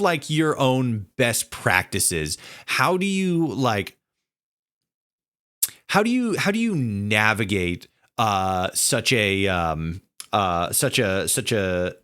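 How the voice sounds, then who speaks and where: A man hosting a casual interview, talking in a rambling, halting way and circling back over his phrases. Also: medium pitch, very animated, a bit bright. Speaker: podcast host interviewing a guest. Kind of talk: casual long-winded interview chat.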